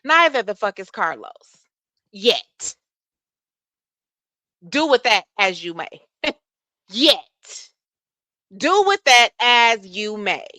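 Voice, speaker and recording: A soft-voiced woman with hosts a live chat, her tone bright and forceful. She sits close to a dry microphone and answers viewers in an animated way, cursing freely. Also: on the high side, slow pace, long pauses, dry recording. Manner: animated, talks back to chat, curses freely. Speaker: livestream host.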